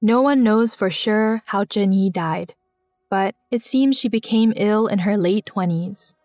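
A woman's voice: mid-range voice, unhurried, a little mellow, no echo, studio quality. Woman narrating a children's story over music. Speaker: narrator of a children's biography podcast.

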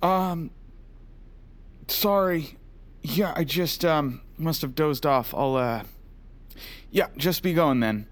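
A man's voice: a little high, slow, long pauses. An actor playing a flustered male agent in an audio drama, hesitant, mumbling and trailing off. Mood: groggy, startled. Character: groggy, flustered male agent reviewing tapes.

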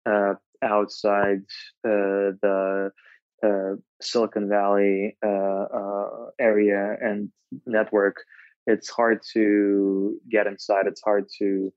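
A man, a startup founder answering interview questions in a halting way, with many filler sounds. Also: fairly low pitch, darkish tone, no echo, highs cut off. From Russia.

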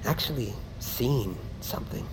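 Man acting as goofy young engineer, slow-paced, swooping pitch, earnest joker, audio drama, rumbling vessel noise. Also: lightly acted. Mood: wistful, earnest. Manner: earnest, jokey, excitable. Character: young engineering prodigy, goofy, eager would-be comedian. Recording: noisy vessel ambience with low rumble.

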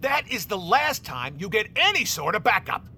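An irate boss scolds with a sharp, forceful voice that swoops widely. The delivery is sarcastic audio drama acting. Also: female voice, medium pitch, very animated, moderate pace, slight background noise. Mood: irate, scolding. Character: irate, bullying emergency call centre boss.

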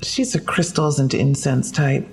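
A woman's voice: extremely low pitch, a little slow, darkish tone, husky, exaggerated voice, rough recording. A woman acting a businesslike police detective in an audio drama, speaking in a matter-of-fact, slightly awkward way. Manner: matter-of-fact, clipped case briefing.

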